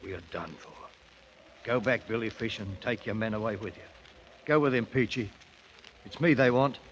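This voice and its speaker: An actor playing a stubborn British ex-soldier turned king, with a working-class English accent and a commanding, rough-spoken manner, in 1950s radio drama style. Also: on the low side, moderate pace, lo-fi sound, slight background noise, lightly acted. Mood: grim, resigned. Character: proud, stubborn British ex-soldier crowned king of hill country. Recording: vintage 1950s radio broadcast, loud music.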